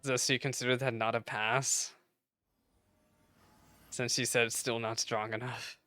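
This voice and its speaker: A woman giving casual, expressive reaction commentary as she plays a video game, full of exclamations and playful slang. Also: rumbling low, measured pace. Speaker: gaming streamer reacting to a game. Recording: loud game music under the voice. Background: game music and dialogue.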